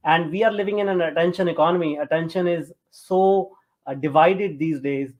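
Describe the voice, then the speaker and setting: A man from Punjab with a North Indian accent, answering as an interview guest in a halting, conversational way with many fillers. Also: on the high side, muffled recording. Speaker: interview guest, tech entrepreneur.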